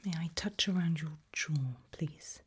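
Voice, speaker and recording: A woman whispers very breathily and unhurriedly, as if conducting a medical exam for ASMR. Her tone is low and soft, polite and calmly unsettling, with slow, careful instructions. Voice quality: breathy, mostly unvoiced whisper. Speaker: ASMR performer playing a medical examiner.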